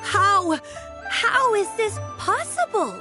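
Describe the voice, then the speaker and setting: A woman's voice: very high voice, big pitch swings, slow, music in the background, heavily acted. A young woman playing an earnest, doubtful girl in a video game, speaking hesitantly. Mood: amazed.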